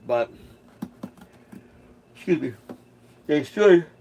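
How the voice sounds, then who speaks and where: A man's voice: fairly high pitch, lively intonation, drawn-out words, darkish tone, slight background noise. A middle-aged man from Chicago chatting casually on a live stream, answering viewer comments in a rambling, hesitant way with many restarts. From Chicago, USA. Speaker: musician hosting a live stream.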